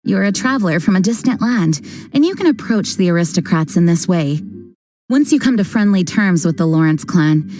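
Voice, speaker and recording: Actress as a composed female commander in a video game; mellow, measured and formal. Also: fairly low pitch, animated, lightly acted. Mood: calm. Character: calm dutiful female knight leader, composed. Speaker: knight commander.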